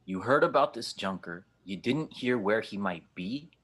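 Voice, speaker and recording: A wisecracking, distrustful space rogue played by a man in an audio drama. His voice sits fairly low and has a rough, husky grain. He is blunt and sardonic. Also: expressive, moderate pace, a bit bright. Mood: dry, sarcastic. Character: skeptical, wisecracking, brash spacefaring gunslinger. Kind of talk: fan audio drama table read.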